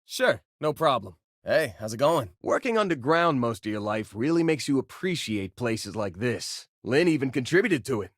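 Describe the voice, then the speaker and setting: A man's voice: mid-range voice, sing-song, normal speed, hardly any pauses, slightly rough, dry recording, studio quality. A male actor playing an easygoing, joking miner and spaceship crewmate in a video game, talking in a casual, folksy way. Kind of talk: video game companion voice lines.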